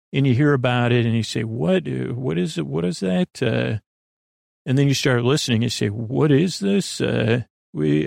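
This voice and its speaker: A man hosting a bedtime podcast in a creaky, soothing voice, meandering through halting tangents and interrupting himself. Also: mid-range voice, a little mellow, dry recording, studio quality. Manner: lulling, soothing. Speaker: podcast host. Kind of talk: rambling bedtime sleep-podcast monologue.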